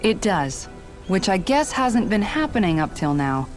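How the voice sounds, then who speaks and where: A woman's voice: medium pitch, very animated, unhurried. A woman playing a dutiful, composed young former palace guard in a video game, speaking earnestly and considerately. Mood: caring, firm. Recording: music underneath. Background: background music.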